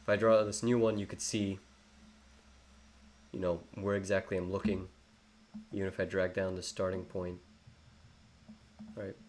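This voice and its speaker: A man's voice: low-pitched, little pitch movement, moderate pace, long pauses, darkish tone. A young man with an English accent, rambling through a live trading tutorial with many fillers and self-corrections. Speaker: trading educator. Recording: faint background noise.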